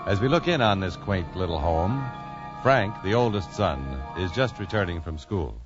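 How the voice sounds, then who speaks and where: Guy doing old radio announcing, lowish voice, loud music behind him, muffled. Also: animated, moderate pace. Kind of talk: 1950s radio announcing, scripted narration.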